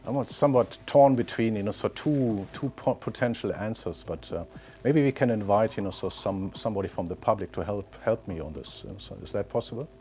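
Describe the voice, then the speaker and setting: A man's voice: lowish voice, fairly quick, warm tone. A man giving unscripted expert answers as a quiz contestant, hesitant and often inserting "you know, so" as he explains.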